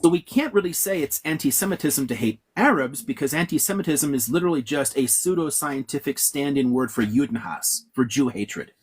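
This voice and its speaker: A man's voice: mid-range voice, lively intonation, a little fast, few pauses, a little mellow. A man giving blunt, profane answers as a guest historian in an interview, lecturing with emphatic asides.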